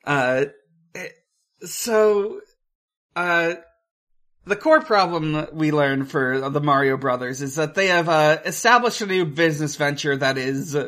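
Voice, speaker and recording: A podcast co-host recapping a film casually, in a hesitant, halting way with searching pauses. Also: mid-range voice, fairly bright tone.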